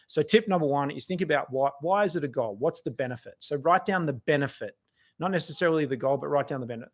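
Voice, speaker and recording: Hoarse Australian man giving chatty advice. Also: medium pitch. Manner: chatty, encouraging, full of restarts and "kinda". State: losing his voice. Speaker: company CEO giving a live video talk. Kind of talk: casual motivational live talk.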